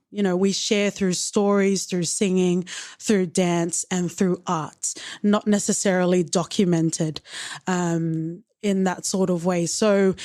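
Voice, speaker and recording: A woman's voice: mid-range voice, a little mellow, dry recording, studio quality. A woman co-hosting a podcast, talking earnestly and openly about her feelings with some wry humor. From Australia. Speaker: podcast co-host. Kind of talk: reflective casual chat.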